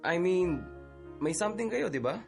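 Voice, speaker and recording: A man's voice: high-pitched, expressive, normal speed, creaky, music in the background. A man acting as a lovesick, evasive younger brother in a Filipino radio drama, speaking casually and hesitantly. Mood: defensive, wistful.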